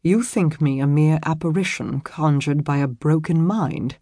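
Casting: woman as commanding yet gentle medieval nobleman for historical audiobook, low register, formal old-fashioned diction, husky tone. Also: a little slow, dry recording, studio quality, full character voice. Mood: gentle, reassuring. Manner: formal archaic phrasing, earnest, emphatic. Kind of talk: historical romance audiobook character acting.

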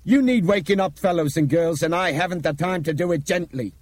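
A man voicing an urbane, forceful Englishman in an audiobook story. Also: fairly low pitch, lively intonation, moderate pace, slightly rough, pitched above the performer's own voice. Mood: angry, urgent. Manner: commanding, clipped urgency with polite turns of phrase.

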